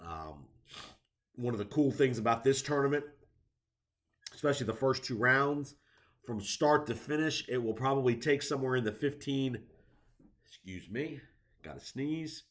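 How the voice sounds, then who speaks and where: A man's voice: mid-range voice, expressive, fairly bright tone. A man with a stuffy head cold giving casual, conversational golf commentary and predictions, with lots of fillers. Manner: conversational, many fillers, drawn-out emphasis.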